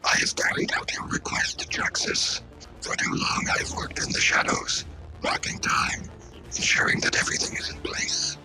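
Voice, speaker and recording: A man voicing a cold, grandiose robot scientist villain in a scripted audio drama, with heavily processed robotic delivery and measured monologues. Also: pushed and bright, soft background music, rough recording. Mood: sinister, impatient.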